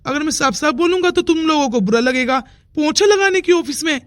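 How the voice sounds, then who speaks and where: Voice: sits high, animated, fairly quick, a bit bright. A performer voicing an earnest, persuasive young village man in a cartoon moral story. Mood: insistent, reassuring. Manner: insistent, reassuring. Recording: music behind the dialogue.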